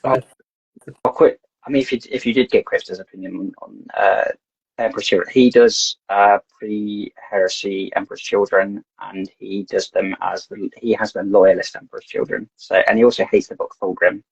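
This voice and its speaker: Gravelly husky-voiced man chatting casually. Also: lowish voice, fairly even intonation, normal speed, no echo. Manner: rambling, repeats words as he talks. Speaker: podcast guest. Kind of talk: casual podcast chat. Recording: dull lo-fi dry sound.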